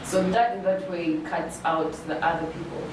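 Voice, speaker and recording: A woman's voice: fairly low pitch, steady tone, moderate pace. A woman from Uganda interviewing a guest, searching for words and often repeating herself. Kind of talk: interview questions. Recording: echoey room, mic far off, noisy.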